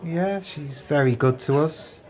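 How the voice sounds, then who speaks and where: An actor playing a sly, callous swindler's sidekick in an audio play, wordy and smoothly flattering. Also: male voice, a little high, measured pace, lightly acted. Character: sly crooked swindler's accomplice, wheedling and callous.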